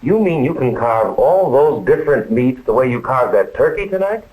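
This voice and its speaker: A man's voice: mid-range voice, very animated, moderate pace, narrow, tinny audio, lightly acted. A man playing an eager, polite young learner in a 1950s training film. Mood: eager, curious.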